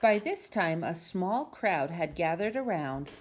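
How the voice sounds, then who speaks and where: Female storyteller reading aloud to family: lively, swinging intonation on a lowish voice, expressive narration. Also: unhurried, a little hiss or hum. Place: at home.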